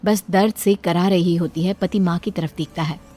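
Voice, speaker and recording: A woman's voice: fairly low pitch, fairly even intonation, normal speed, warm tone, soft background music. A woman narrating a dramatic cartoon story in a storyteller's style.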